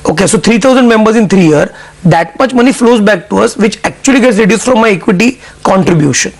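Middle-aged executive, husky and gravelly, measured interview answers. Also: male voice, high voice, animated. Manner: measured, explanatory, businesslike. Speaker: CFO guest. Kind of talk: business news interview.

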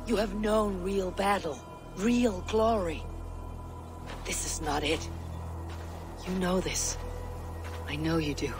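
An actress playing a resolute, loyal Viking warrior woman in a video game, with a solemn, deliberate and firm delivery. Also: lowish voice, music in the background. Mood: solemn, urging. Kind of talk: dramatic video game acting.

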